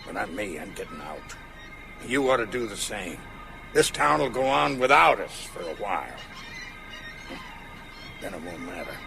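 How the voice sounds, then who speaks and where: Very gravelly, cynical war veteran. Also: male voice, lowish voice, very animated, moderate pace, long pauses, over music. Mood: bitter, resigned. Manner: bitter, cynical. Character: cynical, world-weary male war veteran fleeing vampire town. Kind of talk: radio drama acting.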